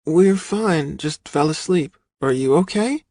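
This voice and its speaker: A soft, loving young woman, drowsy and gentle, played by a male audiobook narrator. The pitch is lifted well up. The recording is very dry. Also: expressive, slow, no room sound, full character voice. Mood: sleepy, tender.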